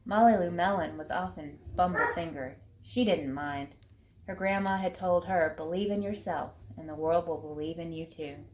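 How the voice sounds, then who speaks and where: A woman reads a children's picture book aloud in a low voice. There is a little faint noise in the recording behind her storytelling. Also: moderate pace, darkish tone.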